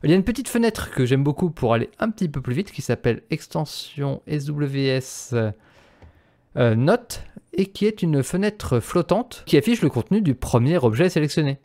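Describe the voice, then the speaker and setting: Higher-pitched man narrating a tutorial. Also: lively intonation, moderate pace, warm tone. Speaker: tutorial presenter. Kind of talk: instructional screencast narration.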